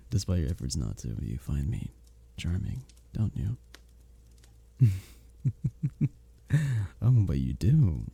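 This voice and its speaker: Man playing a dark-voiced, flirty medieval innkeeper. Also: deep voice, very animated, extremely slow, pauses often, exaggerated voice. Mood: flirty, amused. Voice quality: dark, husky, gravelly. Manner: formal old-fashioned diction, teasing, gently courteous. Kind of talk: romantic ASMR roleplay, scripted character acting.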